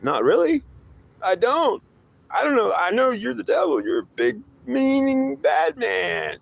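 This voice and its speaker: A man's voice: very high voice, very animated, a little slow, gravelly, exaggerated voice. A man voicing a naive, anxious boy who pleads and protests hesitantly. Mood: timid.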